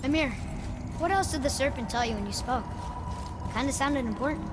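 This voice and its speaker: Actor as eager boy adventurer, high voice, earnest and questioning, heavy water noise behind. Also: fairly quick, natural voice. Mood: curious.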